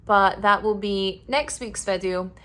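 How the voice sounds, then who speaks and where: A woman vlogging a casual apartment tour indoors, talking in a monotonous, relaxed way with lots of fillers. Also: medium pitch, measured pace. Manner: monotonous, flat delivery; relaxed, filler-heavy talk.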